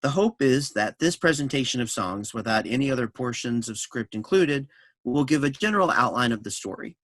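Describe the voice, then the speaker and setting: A man reading scripted introductory notes and stage directions as a narrator, in a measured, explanatory way. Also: medium pitch, animated, fairly bright tone, no room sound.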